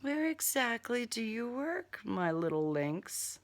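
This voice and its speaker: A woman's voice: on the high side, very animated, takes it slowly, exaggerated voice. A woman voicing a smug male serial killer in an audiobook, with polite, condescending, formal diction and a taunting menace. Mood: taunting, intrigued.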